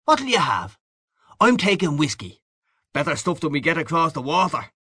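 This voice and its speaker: Guy doing a loud hearty show-off for an audiobook, breezy, kinda patronising, voice pitched up and bouncing around. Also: very animated, moderate pace, husky, no echo, studio quality, full character voice. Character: boisterous, boastful, hearty Irish newspaperman back home. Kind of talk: audiobook character dialogue.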